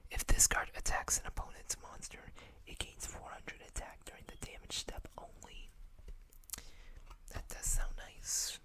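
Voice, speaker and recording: A whispered ASMR voice with a very slow, unhurried delivery. The host reads card text softly and drops in casual asides, with a bright, breathy edge to the whisper.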